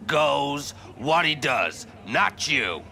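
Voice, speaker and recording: An actor playing a hostile, drunken, bullying father in a video game, gravelly and belligerent. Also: on the high side, very animated, drawn-out words, sharp tone, full character voice. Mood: furious, bullying. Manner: belligerent, swearing, barking orders.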